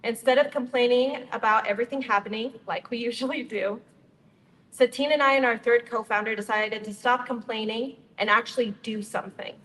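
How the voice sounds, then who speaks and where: A woman's voice: fairly high pitch, steady tone, brisk, fairly bright tone. A young woman from Utah, a nonprofit co-founder, giving a friendly, upbeat prepared speech to a community gathering. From Utah, United States. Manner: upbeat, friendly, addresses the crowd directly. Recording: reverberant hall, faint ambient noise.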